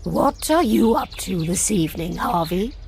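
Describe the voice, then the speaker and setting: A woman's voice: medium pitch, sing-song, moderate pace, vocal fry, a little mellow, slight background noise. A woman voicing a friendly neighbour dog in a children's storybook, with a casual, neighbourly manner.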